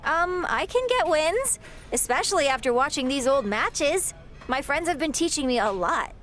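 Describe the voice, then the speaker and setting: A woman's voice: high voice, very animated, moderate pace, a bit bright, soft background music. An actress playing an eager, boastful young female wrestler in a video game cutscene, chatty and upbeat with a defiant edge.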